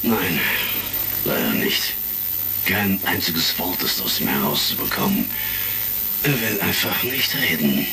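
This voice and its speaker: An actor playing a stern secret service chief in a retro sci-fi radio play, measured and authoritative. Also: male voice, rumbling low, sing-song, creaky, heavily acted. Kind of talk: retro science fiction radio play acting. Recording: distant, loud music, vintage crackle.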